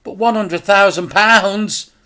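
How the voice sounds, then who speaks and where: A man's voice: high voice, takes it slowly, bright, forceful tone, clean tone, pitched above the performer's own voice, full character voice. A man voicing a desperate, protective father in a children's story, pleading in a strained, stammering way. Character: desperate, pleading dad, protective of his son.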